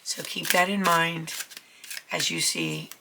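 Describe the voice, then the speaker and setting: A woman's voice: lowish voice, fairly even intonation, slow pace, background noise. A woman with a cold giving a chatty, reassuring tarot reading to her viewers. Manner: chatty, reassuring, many ums. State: getting over a cold. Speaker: tarot reader. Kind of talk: casual tarot reading to camera.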